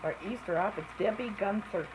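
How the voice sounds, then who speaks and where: An older woman with a gravelly voice, chatting casually as she looks back over old family slides, often clearing her throat. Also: mid-range voice, fairly quick. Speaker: family member narrating home slides. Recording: home recording with noticeable background noise.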